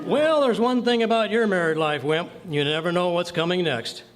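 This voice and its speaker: A man's voice: sits high, moderate pace, very noisy, lightly acted. A man with an American accent playing a blustery, scheming middle-aged husband in an old-time radio comedy. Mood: jovial. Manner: folksy, wisecracking, flustered. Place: clubhouse, live performance.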